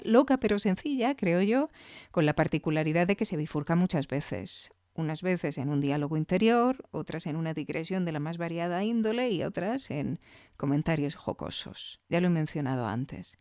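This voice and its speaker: A woman's voice: on the low side, expressive, brisk, mellow, no echo. Female podcast host, Castilian accent from Spain, chatty reviewer delivery, very dry sound. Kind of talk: conversational podcast commentary.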